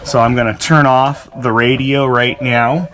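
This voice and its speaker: A man's voice: mid-range voice, steady tone, a little slow, fairly bright tone. A man giving a casual, enthusiastic hands-on product walkthrough, speaking informally and a little hesitantly into an action camera. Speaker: vlogger demonstrating vehicle radio gear. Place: workshop, in and around a side-by-side vehicle. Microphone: handheld GoPro action camera.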